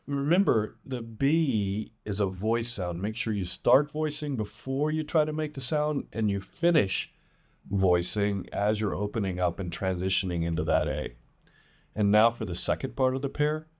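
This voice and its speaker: Deep-voiced man teaching pronunciation slowly. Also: animated, a little mellow. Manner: deliberate, emphatic enunciation of example words. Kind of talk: instructional lesson with demonstrated words.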